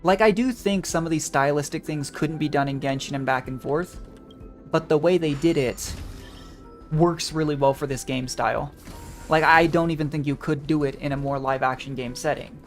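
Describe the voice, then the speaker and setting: Female game streamer: casual, musing, thinking out loud, faint music behind. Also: deep voice, moderate pace. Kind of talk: casual live game commentary.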